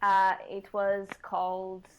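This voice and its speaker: A woman's voice: medium pitch, completely flat delivery, takes it slowly, clean tone, highs cut off. A woman from Portugal, a singer talking earnestly as a guest in an interview, with slightly phrasing. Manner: earnest, laughs often, slightly non-native phrasing. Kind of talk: casual interview chat.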